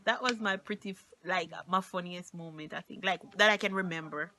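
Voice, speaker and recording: A woman's voice: fairly low pitch, fairly quick, sharp tone, slight background noise. A Jamaican woman in her twenties chatting casually as a vlog co-host, laughing often and slipping into Patois. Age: 20s. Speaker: YouTuber co-host. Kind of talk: casual chat.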